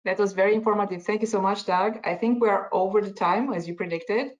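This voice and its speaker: A woman's voice: medium pitch, quick pace, dull audio. A woman moderating a conference session over a video call, politely thanking the speaker and announcing a break.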